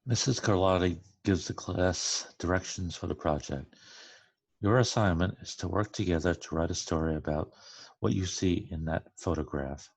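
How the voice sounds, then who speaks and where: A man's voice: low voice, normal speed, creaky, dry recording. A man reading a children's picture book aloud. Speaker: read-aloud reader.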